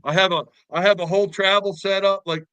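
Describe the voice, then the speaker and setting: A man's voice: high voice, steady tone, fast talker, a bit bright, smooth, dry recording, highs cut off. A man in his fifties hosting a podcast, chatting and telling long stories with repeated words. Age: 50s. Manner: talkative storyteller, repeats words. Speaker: podcast host. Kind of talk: casual podcast chat.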